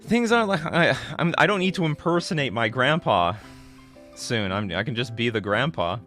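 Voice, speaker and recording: A man in his thirties hosting a casual livestream, answering viewer questions in a rambling, excitable way, often restarting his sentences. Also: mid-range voice, moderate pace, soft background music. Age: 30s. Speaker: livestream host.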